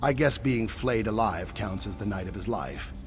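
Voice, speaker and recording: Game role: wary reptilian male survivor, male actor, lowish voice, lively delivery, dry sarcasm, music underneath. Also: normal speed, natural voice. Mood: sarcastic. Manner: wry, dry sarcasm, clipped questions tagged on statements. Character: wary sardonic male lizard-folk survivor hiding in a ruined temple. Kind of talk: video game character dialogue.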